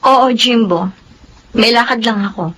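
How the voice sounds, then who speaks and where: An actress with a Filipino accent playing a defiant, irritable young sister in a radio drama. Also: female voice, on the high side, measured pace, fairly bright tone, slight background noise, low-quality recording. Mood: irritated, defensive.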